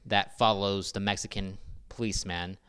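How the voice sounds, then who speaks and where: Smooth low-voiced skeptical man. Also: flat delivery, moderate pace, a bit bright. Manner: skeptical, argumentative, analytical. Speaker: podcast co-host. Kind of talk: casual movie critique chat.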